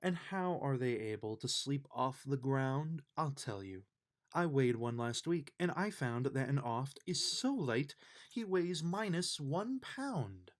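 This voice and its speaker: Bedtime storybook reader: male, medium pitch, leisurely, expressive delivery. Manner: expressive, unhurried read-aloud delivery. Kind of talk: bedtime children's story read aloud.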